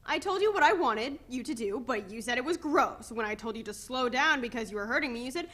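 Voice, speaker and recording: A woman's voice: on the high side, animated, a little fast, sharp tone. A woman playing an exasperated, sarcastic pregnant teenage girl in a dramatic reading of a stage play. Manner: sarcastic, scolding.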